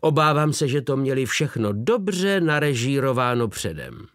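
A man reading an audiobook voices a shrewd, self-assured middle-aged detective with a crisp manner. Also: mid-range voice, sing-song, fairly bright tone, no room sound, studio quality. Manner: crisp, assured, sly charm. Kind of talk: audiobook character voice.

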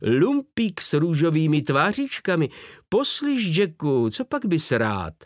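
A man reading an audiobook voices a shrewd, self-assured middle-aged detective with a crisp manner. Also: a little high, sing-song, no echo, clean studio sound, voice pushed up. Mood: coaxing. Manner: crisp, assured, sly charm. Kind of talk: audiobook character voice.

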